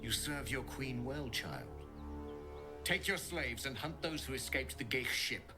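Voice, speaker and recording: A man's voice: medium pitch, animated, a little slow, music in the background, natural voice. An actor playing a commanding, haughty male githyanki knight in a fantasy game, speaking formally. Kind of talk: fantasy video game acting.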